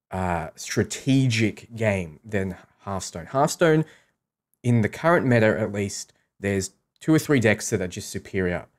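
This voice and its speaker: A man with an Australian accent hosting a livestream, chatting casually and thinking aloud in an informal way. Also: on the low side. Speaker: live streamer and host.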